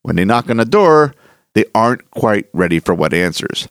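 A man's voice: on the low side, very animated, normal speed, no room sound, clean studio sound. A man working as a podcast host, reading a scripted introduction to classic radio horror. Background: soft music.

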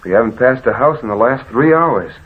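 A man's voice: mid-range voice, lively intonation, brisk, slightly rough. An actor playing an affable, obliging man with an easygoing, deferential manner, in 1940s radio drama style. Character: affable, obliging male travel companion. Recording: tinny, hissy old radio recording.

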